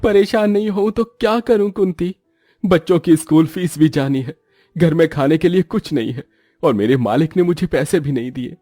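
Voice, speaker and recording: A man's voice: on the high side, normal speed, warm tone, no room sound, studio quality, faint music behind, voice pushed up, close to an everyday voice. A man voicing a worn-out, apologetic poor father and husband in a moral-story cartoon. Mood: distressed.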